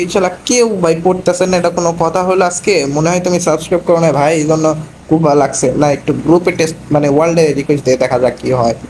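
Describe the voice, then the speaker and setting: Fast-talking young Bangladeshi man, gaming commentary. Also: on the high side, background noise. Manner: slangy, chatty. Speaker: gaming video creator. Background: clear music.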